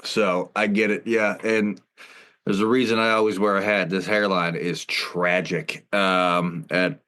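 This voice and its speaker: A man in his thirties hosts a casual podcast chat, loud and self-deprecating. His voice is very deep and carries easily. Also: steady tone, a little slow. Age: 30s. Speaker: podcast host.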